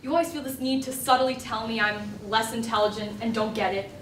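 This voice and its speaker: An actress plays an opinionated woman who argues with earnest, emphatic frustration in a stage drama. Her voice is on the high side and comes from well back in a very echoey, noisy room. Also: fairly even intonation, brisk, a bit bright, lightly acted. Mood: angry, hurt. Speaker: stage actor. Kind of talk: stage play dialogue. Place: indoor stage, recorded from afar. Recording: echoey stage recording, distant mic, noisy.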